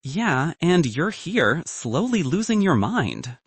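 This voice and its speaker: A man's voice: fairly high pitch, very animated, slow pace, breathy edge, dry recording, pitched above the performer's own voice, exaggerated voice. A man voicing a blunt, teasing college roommate in a narrated story, sarcastic and exasperated.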